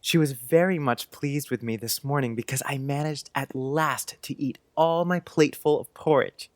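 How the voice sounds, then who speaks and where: A man's voice: medium pitch, normal speed, dry recording, close to an everyday voice. Man playing an earnest little schoolboy, dry close sound. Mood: solemn, proud. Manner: earnest, precise, old-fashioned wording. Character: earnest, polite, precocious young schoolboy. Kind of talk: audiobook character acting.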